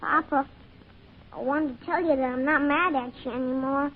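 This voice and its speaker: Dull, noisy old radio recording of a woman acting a small boy with a very high, childlike voice, naive and full of questions. He is stubborn when crossed, in the manner of vintage radio drama. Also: steady tone, moderate pace, close to an everyday voice. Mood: innocent, eager. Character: innocent, inquisitive six-year-old red-haired boy.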